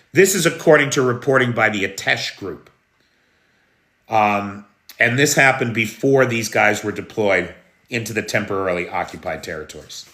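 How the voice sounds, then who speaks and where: A slow-talking American man hosting a live news stream, with a bright, forceful edge to his voice. He takes his time, answering viewers' comments as he goes. His voice rings in an echoey room. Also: fairly high pitch. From United States. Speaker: news livestream host. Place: room in Kyiv during air alert.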